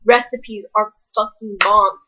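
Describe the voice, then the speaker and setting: Young woman, bright voice, blunt, rambling, swears a lot, slightly dull lo-fi audio. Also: medium pitch, steady tone, takes it slowly, slightly rough. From American South. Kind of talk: casual vlog rant.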